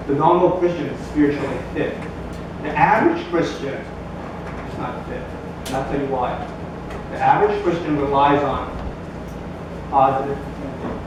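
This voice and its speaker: Echoey pastor preaching from a distance. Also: male voice, medium pitch. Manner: conversational, jokey preaching with rising emphatic bursts. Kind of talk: sermon. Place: church sanctuary. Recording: echoey church from a distance with background noise.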